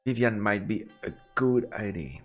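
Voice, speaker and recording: Male game streamer, lively intonation, reading story text aloud, faint music behind. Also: lowish voice, a little slow. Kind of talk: let's play commentary and reading narration aloud.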